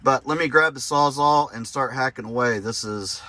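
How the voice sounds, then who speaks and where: A man hosting a casual hands-on DIY vlog, thinking aloud in a halting, self-deprecating, crude way. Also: medium pitch, pushed and bright. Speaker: host of a DIY truck modification vlog. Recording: clear background noise and ambience.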